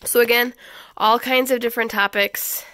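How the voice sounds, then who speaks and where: Stuffy-voiced woman host, crisp and dry. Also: on the high side, fairly even intonation, moderate pace, bright, forceful tone, dry recording. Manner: chatty, many ums and restarts. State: stuffy from a head cold. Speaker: homeschool channel host. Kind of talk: casual book review walkthrough. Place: small home schoolroom, indoors.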